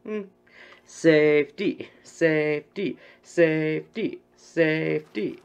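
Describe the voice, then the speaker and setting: Young male game streamer, gravelly fry, joking and repetitive, muffled lo-fi webcam sound. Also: on the high side, slow pace. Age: young adult. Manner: repeats phrases over and over. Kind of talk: casual joking gameplay commentary. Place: bedroom, indoors. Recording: dull, lo-fi webcam stream audio.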